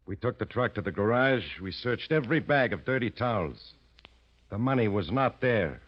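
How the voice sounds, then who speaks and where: A man's voice: lowish voice, expressive, moderate pace, slightly rough. An actor playing a tough, pushy gangster henchman with slangy, clipped talk in a 1940s radio drama. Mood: gruff, suspicious. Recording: dull, lo-fi old radio recording.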